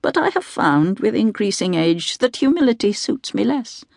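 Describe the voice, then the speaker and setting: A woman reading scripted first-person literary fiction as an audiobook narrator, in a measured, deliberate way. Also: medium pitch, very animated, gravelly, darkish tone, dry recording, clean studio sound.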